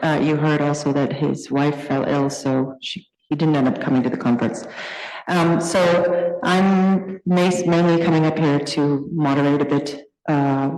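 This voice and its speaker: A woman's voice: low voice, darkish tone. A woman moderating a conference session, informally introducing a speaker in a large room. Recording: echoey, reverberant conference hall.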